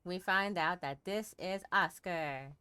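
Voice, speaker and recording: Low, bright-voiced woman gamer. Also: slow pace, clear, resonant tone. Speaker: game streamer. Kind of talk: casual playthrough commentary.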